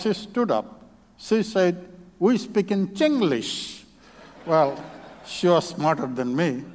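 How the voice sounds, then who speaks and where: Man, Bangladeshi accent, native Bengali speaker, church sermon, short halting phrases, fairly high voice. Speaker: visiting preacher. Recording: long reverb of a church hall.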